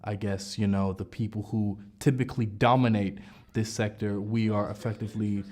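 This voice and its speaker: A twenty-two-year-old comedian with a slightly low voice speaks in a documentary interview. He chooses his words carefully and talks in a measured, deliberate way. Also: level tone, a little mellow. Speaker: writer and comedian.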